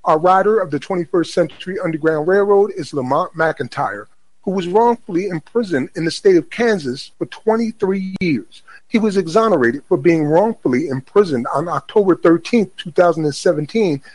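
A man hosting a radio program, reading a scripted introduction in a measured, formal announcing manner. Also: high voice, animated, dry recording, low-quality recording. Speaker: radio host.

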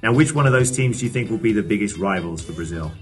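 A man's voice: on the low side, lively intonation, fast talker, soft background music. A man co-hosting a football podcast, asking fans short questions with lots of uhs. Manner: friendly interviewer with frequent uh fillers. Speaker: podcast co-host interviewing fans. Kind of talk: casual interview.

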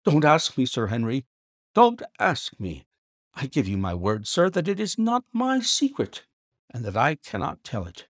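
A man voicing a frightened male butler who pleads in a strained, high voice. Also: wildly swooping pitch, a little slow, no room sound, studio quality. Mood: pleading.

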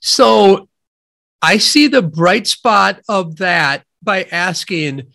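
A man from Wisconsin with a nasal Midwestern American accent, speaking as a guest economist on a podcast in a measured, thoughtful way. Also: high-pitched, animated, vocal fry, bright, forceful tone. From Wisconsin, USA. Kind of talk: podcast interview, explaining ideas.

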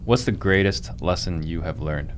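A man's voice: low voice, normal speed, noisy background. A man hosting a casual interview, asking questions and drifting into rambling, self-aware rants. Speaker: podcast host and real estate wholesaler.

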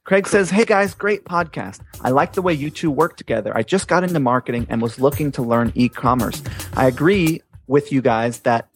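An easygoing man from the United States co-hosts a casual podcast chat. His voice sits at a middle pitch, and faint music plays behind him. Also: moderate pace. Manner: easygoing, laughs often, talks of a drawl others hear. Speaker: podcast co-host.

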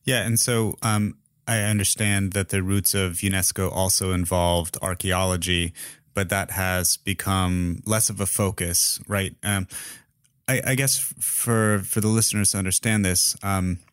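Low-voiced man hosting, dry sound. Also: fairly even intonation, measured pace, a bit bright, clear, resonant tone, no echo. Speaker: podcast host, interviewer. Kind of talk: casual interview questioning.

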